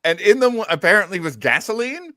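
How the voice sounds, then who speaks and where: A man's voice: high voice, very animated, a little fast, pushed and bright, dry recording, studio quality. A man hosting a podcast, chatting casually and commenting on old radio shows. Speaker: podcast host.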